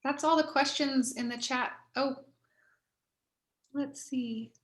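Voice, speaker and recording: A woman's voice: high-pitched, steady tone, slow pace. A woman casually moderating an online event and reading out messages from participants. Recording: roomy, echoey sound.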